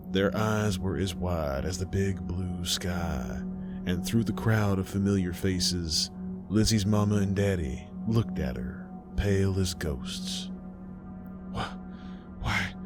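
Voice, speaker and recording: Male horror narrator: low pitch, dramatic folksy storytelling, music clearly underneath.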